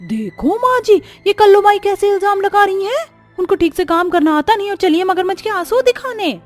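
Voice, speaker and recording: A woman voicing a scheming, spiteful young sister-in-law in an animated story, mocking and accusing. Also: very high-pitched, a little fast, soft background music.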